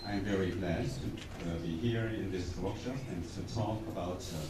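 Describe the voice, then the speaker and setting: A man, an engineer, introducing himself at a workshop with many filler pauses and restarts. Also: low-pitched, heavy background noise. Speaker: engineer in mining technology. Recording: echoey conference hall, distant mic.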